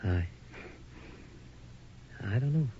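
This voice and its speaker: A man's voice: on the low side, animated, drawn-out words, a little hiss or hum. An actor playing a nervous, earnest young man who stammers and hesitates, in a radio drama. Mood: awkward eager. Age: young adult. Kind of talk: 1980s radio drama acting. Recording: dull older radio recording.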